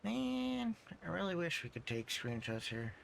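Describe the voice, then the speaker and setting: Gravelly young man, casual game streamer. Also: high voice, big pitch swings, measured pace, fairly bright tone, slight background noise. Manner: laid-back, slangy. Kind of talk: casual live reaction commentary. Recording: game music behind the voice.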